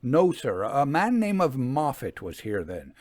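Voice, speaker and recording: A man's voice: medium pitch, wildly swooping pitch, a little slow, darkish tone, no echo, clean studio sound. A man voicing a plain-spoken, respectful working signalman in a ghost story reading, with emphatic, colloquial speech. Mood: earnest, gossipy.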